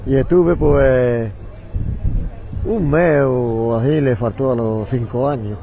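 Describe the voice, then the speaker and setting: A man's voice: mid-range voice, takes it slowly, dark tone, slight background noise. An older man, a returned emigrant, recounting his working years in a plain, matter-of-fact way outdoors. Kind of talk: casual street chat.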